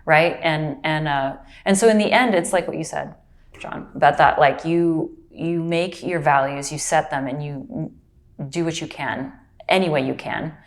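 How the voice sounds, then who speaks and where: A woman from Brazil, a native Portuguese speaker, recalls her path as an actor and narrator in an unhurried, reflective way. Her voice sits low for a woman. She talks casually and tells it like a story. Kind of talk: casual interview.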